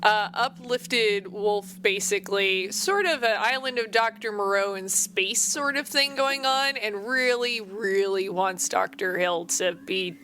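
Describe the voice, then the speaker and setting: A woman's voice: mid-range voice, measured pace, few pauses, sharp tone, a little hiss or hum. A woman who plays in a tabletop game, talking in a casual, chatty style. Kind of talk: casual chat.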